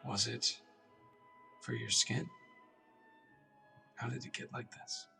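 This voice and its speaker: A man's voice: mid-range voice, huge pitch swings, takes it slowly, creaky, pushed and bright, heavily acted. A man playing an earnest, awkward doctor in a radio drama, hesitant, apologetic and reflective as he questions a patient. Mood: frustrated, pondering. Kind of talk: radio drama acting with inner monologue. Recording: distant mic, music underneath.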